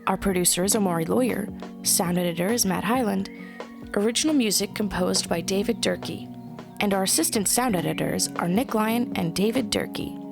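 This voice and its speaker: Casting: female credits announcer, mid pitch with vocal fry, scripted read over prominent music. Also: brisk. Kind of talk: scripted narration.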